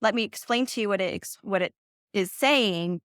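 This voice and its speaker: A woman in her forties speaks in a smooth, resonant voice, dry and close to the mic. She talks casually as an interview guest. Her stories are animated, and she acts out the things other people said. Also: mid-range voice, normal speed, no room sound. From Central America. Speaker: guest, company founder.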